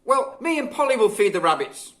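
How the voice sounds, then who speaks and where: A man's voice: sits high, very animated, fairly quick, a bit bright, voice pushed up. A man voicing a kindly, easygoing, homely mother in a children's story. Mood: firm, kindly. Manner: homely, uses folksy endearments.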